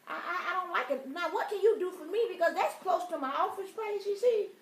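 A woman's voice: very high-pitched, brisk. A woman playing an aggrieved, easily offended customer, rambling and swearing through a prank phone complaint. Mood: aggravated. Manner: indignant, rambling, repetitive, foul-mouthed. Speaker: prank caller. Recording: roomy, distant, noisy phone prank recording.

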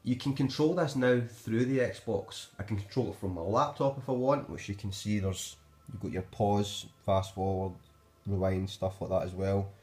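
A man's voice: fairly low pitch, fairly even intonation, moderate pace, a little mellow, roomy sound. A man with a Scottish accent giving a casual, unscripted review in a hesitant, rambling way, recorded on a phone in a living room. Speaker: amateur gadget reviewer. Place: indoors in a living room at home. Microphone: handheld phone camera.

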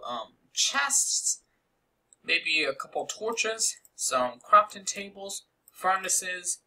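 A man's voice: sits high, bright, forceful tone, rough recording. A young man casually narrating a game-building tutorial over a screen recording, rambling with many fillers and asides.

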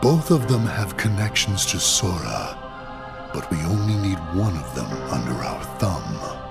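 A man voicing a cold, commanding villainous leader in a game cutscene, slow and grave. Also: low-pitched, sing-song, gravelly, over loud music, exaggerated voice. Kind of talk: video game cutscene acting. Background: game score.

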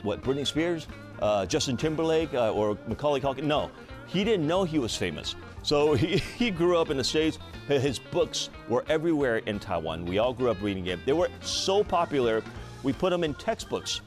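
A man's voice: medium pitch, expressive, normal speed, faint music behind. A middle-aged man hosting a late-night talk show in an effusive way, often repeating and restarting his phrases. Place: TV studio.

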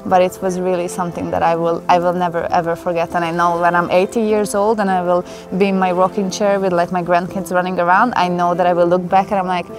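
A woman's voice: on the low side, little pitch movement, quick pace, few pauses. Young woman telling her story. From Hungary. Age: young adult. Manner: earnest, emotional, chatty with fillers. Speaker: interviewee. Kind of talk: personal storytelling interview. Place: outdoors in a forest. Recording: clear music bed under the voice. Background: music.

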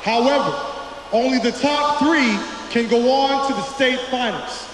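An actor playing a showy, booming competition master of ceremonies making drawn-out announcements. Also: male voice, reverberant, background noise. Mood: grand, suspenseful.

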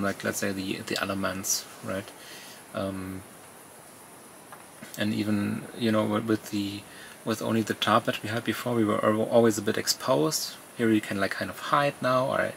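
A man, chatting casually and haltingly as a camping vlogger. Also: on the low side, vocal fry, a bit bright, slight background noise, poor audio. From Germany. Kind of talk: casual vlog chat. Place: outdoors at a campsite and in an awning tent.

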